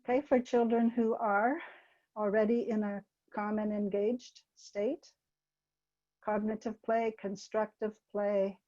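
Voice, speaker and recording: Older lady teaching on a webinar, gentle n hesitant, lotta ums, soft dark voice, kinda muffled call audio. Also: mid-range voice, flat delivery, slow pace, no echo. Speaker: webinar presenter. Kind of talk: informal teaching talk with anecdotes.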